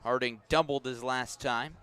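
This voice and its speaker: A man's voice: mid-range voice, steady tone, moderate pace, a bit bright, clear, resonant tone. Man calling baseball play-by-play on radio. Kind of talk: live radio baseball commentary.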